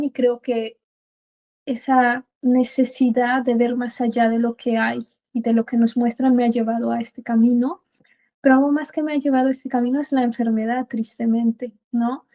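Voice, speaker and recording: High, softly even-toned Mexican woman. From Puebla, Mexico. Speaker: podcast guest, biodecoding practitioner. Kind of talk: conversational interview answers.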